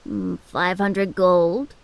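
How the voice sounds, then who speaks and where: A woman's voice: on the low side, steady tone, extremely slow, gravelly, heavily acted. An actress playing a polite, inquisitive older halfling merchant woman who muses as she talks, in a fantasy audio drama. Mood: musing.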